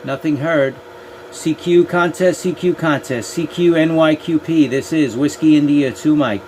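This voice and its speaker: A man's voice: fairly high pitch, unhurried. A man working as an amateur radio contest operator, making clipped, procedural calls in phonetic alphabet. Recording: loud radio static and noise.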